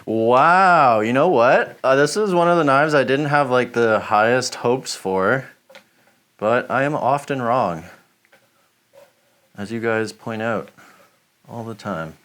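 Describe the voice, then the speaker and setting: A man giving a relaxed, chatty review, rambling with lots of fillers as he chops. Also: mid-range voice, slow pace, long pauses. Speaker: knife shop reviewer. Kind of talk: casual product review while chopping.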